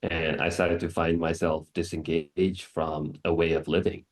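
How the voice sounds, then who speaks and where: A man in his thirties from Singapore, telling his own story on a panel in a reflective, earnest, measured way. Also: low voice, level tone. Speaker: panelist, wilderness guide.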